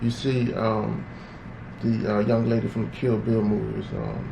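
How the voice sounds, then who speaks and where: A man's voice: lowish voice, completely flat delivery, a little slow, gravelly. A man in a casual podcast chat, talking in a laid-back way and often saying "you know what I'm saying". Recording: echoey room with loud background noise.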